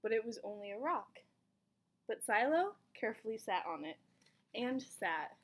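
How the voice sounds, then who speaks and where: A woman reading a children's picture book aloud in an expressive storytelling manner, with dramatic pauses. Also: on the high side. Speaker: volunteer story reader.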